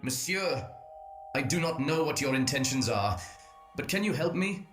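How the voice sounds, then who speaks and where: A man's voice: on the high side, expressive, normal speed, fairly bright tone. Man acting desperate young nobleman, echoey. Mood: desperate, pleading. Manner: formal, urgent, questioning. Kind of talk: dramatic audio drama acting. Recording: echoey, roomy sound with music.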